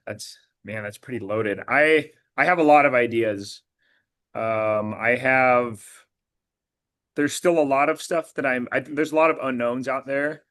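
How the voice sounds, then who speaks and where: A man, a coach who has partly lost his voice from yelling, answering interview questions casually with lots of fillers and restarts. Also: medium pitch. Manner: rambling, many fillers and restarts. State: voice partly lost, a bit hoarse. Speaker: blood analysis practitioner and girls flag football coach.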